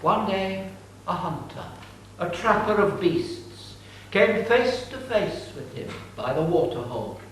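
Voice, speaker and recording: A man's voice: high voice, sing-song, slow, fairly bright tone, slight background noise. A man narrating an ancient epic in a declamatory, solemn dramatic reading. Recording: distant mic, echoey room.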